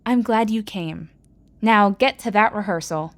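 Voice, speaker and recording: An actress playing a calm woman who owns a store and gently guides the younger ones in an audio drama. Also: mid-range voice, measured pace, lightly acted. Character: warm older woman Josie, store owner.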